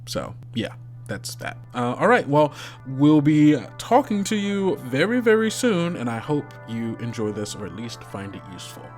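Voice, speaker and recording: A man's voice: on the high side, big pitch swings, normal speed, faint music behind. A man hosting as game master, narrating in a casual, improvised, conversational and rambling way. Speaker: game master, podcast host.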